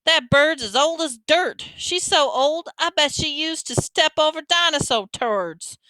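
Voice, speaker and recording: A woman's voice: high-pitched, expressive, measured pace, pushed and bright, no echo, voice pushed up, full character voice, low-quality recording. A woman voicing a cocky, defiant boy who acts cool and talks in slang in a story.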